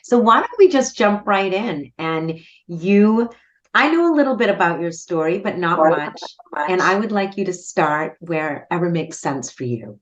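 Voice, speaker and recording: Low-voiced lady hosting a podcast, upbeat, kinda muffled lo-fi sound. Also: animated, measured pace. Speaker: podcast host. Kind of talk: conversational interview.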